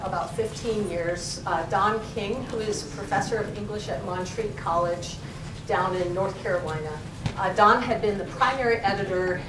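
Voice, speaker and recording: A woman English professor talks through her subject informally and corrects herself as she goes. She is picked up from some distance in an echoey library room. Steady noise and a low rumble sit behind her voice. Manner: informal, thinking aloud with frequent self-corrections. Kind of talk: conversational lecture. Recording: roomy, distant and noisy, with rumble.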